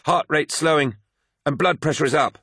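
A man's voice: fairly high pitch, moderate pace, fairly bright tone, husky, no room sound, studio quality, pitched above the performer's own voice. A man voicing an anxious, tense medical assistant giving urgent reports in an audiobook. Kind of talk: dramatised audiobook dialogue.

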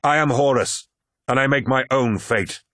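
A man's voice: on the low side, animated, normal speed, fairly bright tone, no echo, studio quality. A man voicing a proud, commanding warlord in an audiobook, defiant and suspicious, with an imperious, emphatic delivery.

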